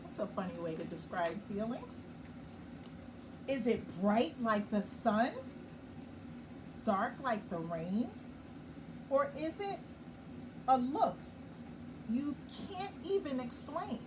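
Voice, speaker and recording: A woman hosting a nightly children's story time, reading a picture book slowly and gently and pausing to explain it. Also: a little high, sing-song. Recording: far from the mic with clear background noise.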